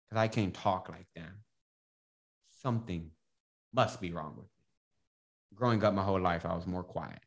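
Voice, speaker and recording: Man with a slight lisp, animated. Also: fairly low pitch. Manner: animated, swearing, swings from loud to hushed. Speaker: podcast guest, transformation coach. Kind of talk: casual podcast conversation.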